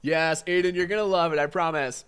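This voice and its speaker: A man's voice: a little high, steady tone, fairly quick, a bit bright, smooth. A man with a congested, fading voice teaching a casual live-stream exam study session, answering chat questions with lots of fillers. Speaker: exam prep instructor.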